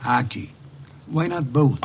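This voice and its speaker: An actor playing an older, portly, pompous detective genius in old radio drama style, speaking formally and precisely with a gravelly, husky voice. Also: male voice, on the high side, slow, highs cut off, background noise. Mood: fussy, musing.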